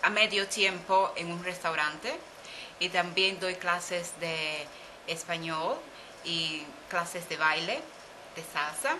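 A woman in her forties from Cuba with a Cuban Spanish accent, answering interview questions slowly with many pauses, recorded on a webcam in a small office. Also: on the low side, noisy background. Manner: hesitant, thinks aloud with fillers. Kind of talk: slow, careful conversational answers. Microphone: webcam at desk.

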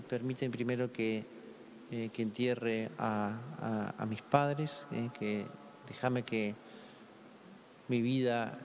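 A man in his thirties with a Rioplatense Spanish accent, a priest reading the gospel and giving a conversational homily at a lectern microphone, with frequent filler sounds. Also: medium pitch. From Argentina. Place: large church, indoors. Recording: echoey church hall.

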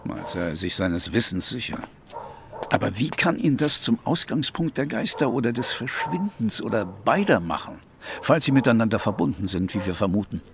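Lowish-voiced, earnest police inspector. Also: male voice, sing-song, moderate pace, noisy background. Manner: formal, matter-of-fact. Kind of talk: German audio drama acting.

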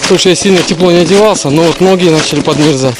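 A man's voice: fairly high pitch, racing, noisy background. A man in his forties narrating a casual hiking vlog as he walks through a snowy pine forest, filmed on an action camera he holds at arm's length. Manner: chatty, breathless while trudging through snow. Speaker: vlogger. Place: snowy pine forest outdoors in deep frost. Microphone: action camera held at arm's length.

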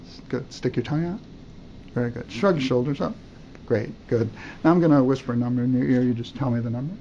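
An older male doctor runs a clinical exam in short, encouraging commands. His voice is mellow and creaky, picked up a little dull and noisy in a hospital room. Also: mid-range voice, fast. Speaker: doctor examining a patient.

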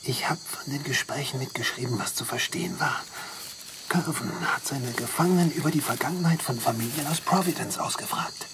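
A man's voice: mid-range voice, a little fast, close to an everyday voice. An actor playing an uneasy workingman in a horror audio drama, speaking in a tense, dramatic way. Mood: hushed, uneasy. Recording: noticeable background noise.

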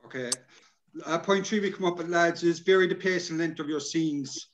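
A man's voice: a little high, normal speed, a little hiss or hum. A middle-aged Irish man giving an informal, chatty workshop talk over a video call, often adding "you know". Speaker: workshop presenter. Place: indoors, plain room on video call. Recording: dull lo-fi video call audio.

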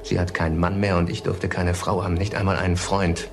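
Very deep-voiced haunted killer confessing. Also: male voice, level tone, vocal fry. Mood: bitter, tormented. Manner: halting, deliberate confession. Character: confessing middle-aged serial killer, tormented by his mother. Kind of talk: German crime radio drama acting. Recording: music underneath the voice.

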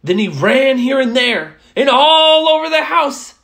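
A woman storyteller reads a rhyming children's book aloud. Her voice is fairly high and moves with lively swings in pitch. She takes it slowly, shaping each phrase with expression. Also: gravelly.

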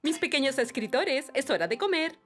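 A woman voicing a gentle, motherly cartoon cat with a Latin American Spanish accent. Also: high voice, lively intonation, a little fast, faint music behind. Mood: warm, amused. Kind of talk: animated children's cartoon dub.

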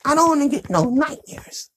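Man putting on a very high sassy puppet girl. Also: animated, normal speed, a bit bright. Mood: chatty. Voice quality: high falsetto. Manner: sassy, blunt. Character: sassy girl hand puppet, playful and blunt. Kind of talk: improvised children's puppet show.

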